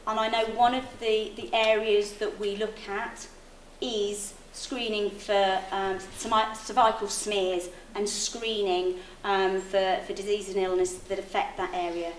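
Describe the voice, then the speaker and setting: A woman panel official responds calmly and conciliatorily, pausing on ums as she chooses her words. She is caught from across an echoey hall, with a faint hum of background noise. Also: mid-range voice, distant mic. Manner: conciliatory, hesitant with frequent ums. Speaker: health service official on a meeting panel. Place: public meeting hall.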